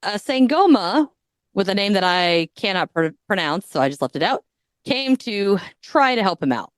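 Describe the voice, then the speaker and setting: A woman's voice: medium pitch, sing-song, normal speed, dry recording. A woman hosting a podcast, retelling a story in a casual, crude, joking way and often breaking into giggles. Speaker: podcast host.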